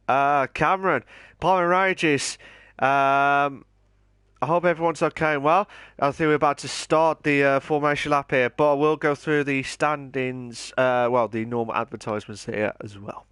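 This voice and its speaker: A man hosting and commentating an online racing league, his voice hoarse and nearly gone, talking in an excitable, chatty way. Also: mid-range voice, normal speed, dry recording, studio quality. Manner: excitable, shouting during qualifying, then calm chatty standings rundown. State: hoarse, lost voice. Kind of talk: live esports race commentary.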